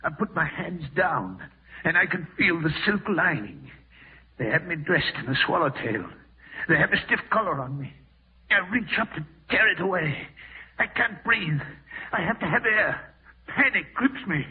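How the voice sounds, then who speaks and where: A man's voice: fairly high pitch, very animated, moderate pace, exaggerated voice. An actor playing an aged, irascible dying man haunted by fear, in a 1940s radio drama style. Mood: haunted, fearful, then rising panic. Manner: crotchety, brusque. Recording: muffled distant husky old radio recording.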